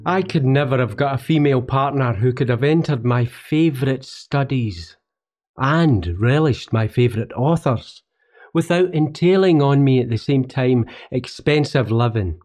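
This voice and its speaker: A man's voice: mid-range voice, animated, measured pace, a little mellow, natural voice. A man with a Scottish accent playing a humble, reflective poet in a radio drama, speaking in an earnest, literary way. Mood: self-deprecating, reflective. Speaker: actor in a radio play. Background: plucked guitar music.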